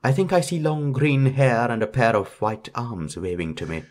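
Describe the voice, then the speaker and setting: A man's voice: medium pitch, sing-song, normal speed, darkish tone, pitched above the performer's own voice, lightly acted. A man voicing a naive, curious little princess in a fairytale, with an earnest, wondering manner. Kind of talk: storybook character reading.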